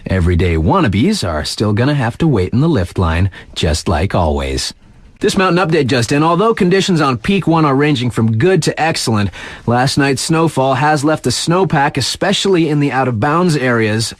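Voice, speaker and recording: Laid-back, wry radio DJ guy, lively delivery. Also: medium pitch, moderate pace, no echo. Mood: casual announcing. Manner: laid-back, slangy, playfully deadpan. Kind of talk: casual radio announcements in a video game.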